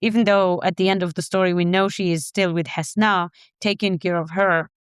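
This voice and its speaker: A woman from Morocco co-hosting a podcast, calmly discussing a short story. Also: fairly low pitch, brisk, clean tone, dry recording, studio quality. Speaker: podcast co-host. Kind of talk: literary discussion.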